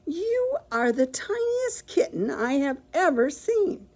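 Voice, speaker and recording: A cheerful, innocent storybook housekeeper who takes every word literally, voiced by a woman reading aloud. She speaks up higher and louder than the storyteller's own voice, with a lively, swooping pitch. Her delivery is earnest and emphatic, as if explaining something obvious. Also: slow pace, exaggerated voice. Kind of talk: picture book read aloud.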